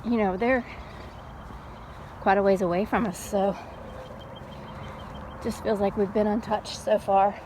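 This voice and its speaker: A middle-aged woman chatting casually in an unhurried, folksy way, like a mom telling stories while out on a walk. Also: mid-range voice. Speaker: storytelling vlogger and mother. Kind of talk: casual rambling chat. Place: outdoors, walking. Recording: steady background noise, outdoors on a walk.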